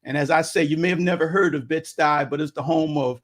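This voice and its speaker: A man, speaking a little higher than most men. He talks about marriage as a guest instructor in a casual, halting way, stopping and restarting often with ums. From Brooklyn, New York.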